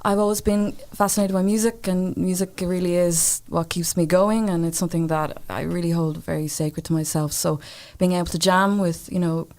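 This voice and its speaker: A woman's voice: lowish voice, fairly even intonation, moderate pace, hardly any pauses, a little mellow. Earnest woman. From Ireland. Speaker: musician guest. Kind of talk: casual interview chat. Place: radio studio.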